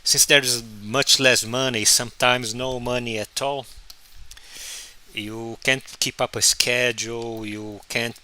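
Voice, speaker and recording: A man whose first language is Portuguese, chatting casually on a live stream while he draws, halting and restarting often. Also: medium pitch, steady tone, sharp tone. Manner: halting, many restarts and fillers. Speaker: comic artist streaming his drawing.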